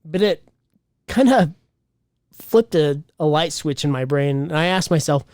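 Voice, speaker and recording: A man's voice: fairly high pitch, animated, a little slow, darkish tone, no echo, clean studio sound. A man co-hosting a podcast in casual chat, talking bluntly and with emphasis, swearing casually. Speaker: podcast co-host.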